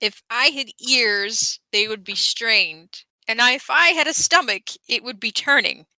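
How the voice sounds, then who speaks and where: A woman's voice: on the high side, unhurried, pushed and bright, no room sound, poor audio. A woman playing a tabletop game, bantering casually in character.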